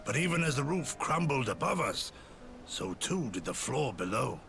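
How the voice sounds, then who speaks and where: Slow, gravelly old samurai man. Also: mid-range voice. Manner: formal, measured, old-fashioned phrasing. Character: aged male samurai, solemn, gruff, protective storyteller. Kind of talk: dramatic game cutscene acting, archaic diction.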